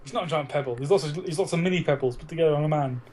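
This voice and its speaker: A man's voice: a little high, very fast, darkish tone. A man co-hosting a podcast with casual, joking, argumentative banter about films and plenty of swearing. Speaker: podcast co-host.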